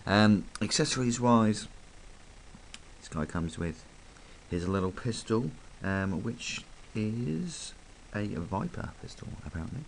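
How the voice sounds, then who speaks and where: Croaky low-voiced man reviewing a toy. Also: expressive, takes it slowly, slight background noise, rough recording. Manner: chatty, rambling, full of ums. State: croaky from hay fever. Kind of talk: casual hands-on review.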